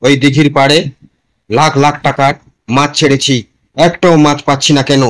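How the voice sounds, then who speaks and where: Stern landlord for village cartoon, male actor: demanding, scolding, flat delivery. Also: a little high, normal speed, lightly acted. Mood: angry scolding. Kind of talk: cartoon dialogue.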